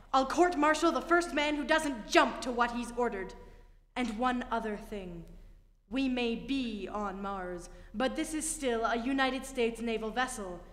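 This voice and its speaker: A woman's voice: on the high side, close to an everyday voice. A woman playing a stern, commanding naval spaceship captain who gives clipped orders in old-time radio drama style. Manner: authoritative, clipped military orders. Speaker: actor in a radio play. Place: theatre stage, live performance. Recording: echoey theatre hall.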